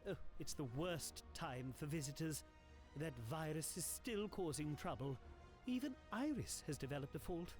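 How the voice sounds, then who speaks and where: A man's voice: a little high, animated, moderate pace, soft background music, natural voice. A man playing a fretful, deferential henchman in a video game, reporting trouble to his boss. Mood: worried.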